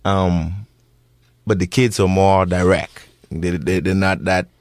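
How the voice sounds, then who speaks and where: A man in his thirties with a Bahamian accent, answering interview questions earnestly with many pauses and restarts. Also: deep voice, steady tone, darkish tone, no echo, studio quality. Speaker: interviewee, parent.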